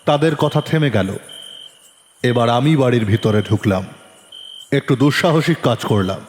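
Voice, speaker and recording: A woman's voice: very deep voice, expressive, normal speed, darkish tone, a little hiss or hum. A woman narrating a detective story as a dramatic scripted audio production. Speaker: narrator, also voicing the detective. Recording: long reverb with music behind. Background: music.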